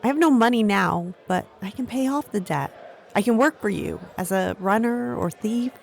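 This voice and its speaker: A woman's voice: on the high side, moderate pace, gravelly. A desperate pleading young girl. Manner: pleading, earnest. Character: desperate, pleading street girl of about thirteen. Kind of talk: full-cast fantasy audio drama acting.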